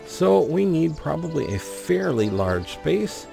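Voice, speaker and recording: A man's voice: fairly high pitch, big pitch swings, slow pace, gravelly, music in the background. A man hosting a gaming video, commenting on play and reading the game's story text aloud. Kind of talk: let's play commentary mixed with reading in-game narration aloud.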